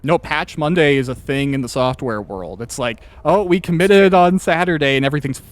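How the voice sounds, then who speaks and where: A man's voice: on the high side, brisk, no echo. A man hosting a casual interview chat, talking in a wordy, hesitant way and often interrupting himself. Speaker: podcast host interviewer.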